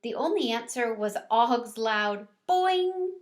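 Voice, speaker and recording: Slow-paced woman reading a children's story aloud. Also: fairly high pitch, lively intonation. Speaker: read-aloud teacher or reader.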